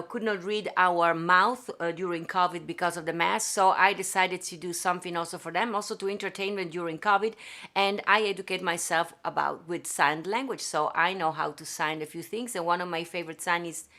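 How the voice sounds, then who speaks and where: A woman's voice: lowish voice, fairly bright tone. A woman from Venice with an Italian accent, hosting a casual livestream and greeting viewers effusively. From Italy (Venice). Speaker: singer and livestream host. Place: home studio.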